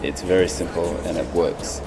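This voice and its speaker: A man's voice: sits low, flat delivery, normal speed. A man from England giving earnest, reflective talking-head answers in an interview. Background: loud background music.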